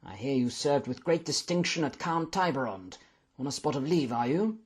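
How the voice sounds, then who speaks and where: A man voicing a courteous army general in a storybook, grave and formal in a measured manner. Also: medium pitch, sing-song, slightly rough, pitched above the performer's own voice. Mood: courteous, brisk.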